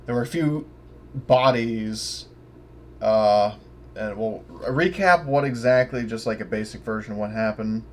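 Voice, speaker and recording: Male tabletop player, lowish voice, casual conversational delivery, faint background noise. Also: unhurried. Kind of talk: casual tabletop roleplay chat.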